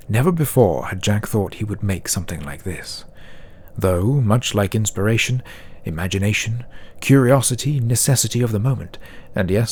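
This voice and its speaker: A man reading a scripted fantasy story as narrator, with dramatic, deliberate phrasing. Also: lowish voice, a little mellow, slight background noise. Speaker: audiobook narrator.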